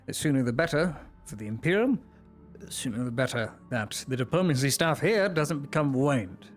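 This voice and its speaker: Ein Mann spielt einen kalten, fanatischen Vollstrecker. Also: male voice, mid-range voice, lively intonation, normal speed, soft background music, lightly acted. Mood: commanding. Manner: swings between soft whispers and sudden shouts; scornful, commanding. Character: cold zealous male imperial enforcer, menacing and contemptuous. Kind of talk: live roleplay in a virtual world.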